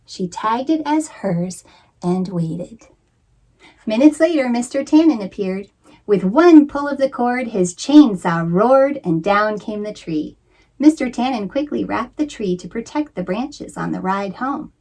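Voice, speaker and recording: A woman narrating a children's picture book in an expressive, theatrical read-aloud style with suspenseful emphasis. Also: a little high, unhurried. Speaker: children's author and librarian reading aloud.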